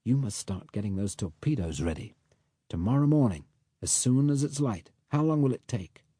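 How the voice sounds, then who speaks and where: A man voicing a resolute, prim middle-aged Englishwoman who speaks quietly and firmly. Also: medium pitch, animated, normal speed, husky, no echo, studio quality. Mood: quiet resolve. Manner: quiet, restrained and firm.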